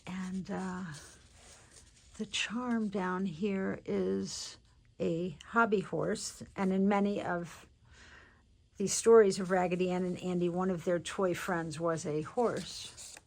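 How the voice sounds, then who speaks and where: A woman's voice: on the low side, fairly even intonation. A woman showing off a handmade journal in casual show-and-tell narration, chatty and congested from allergies. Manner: chatty and unhurried, with many fillers and restarts.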